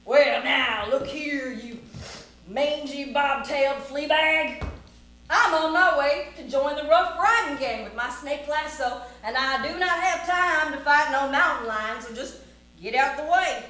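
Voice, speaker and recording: Woman playing a high-pitched, blustering folksy cowboy, echoey. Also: animated, normal speed, fairly bright tone. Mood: blustering, defiant. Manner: folksy Western drawl, blustering. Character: plucky, boastful young cowboy spinning a tall tale. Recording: very echoey room, faint noise.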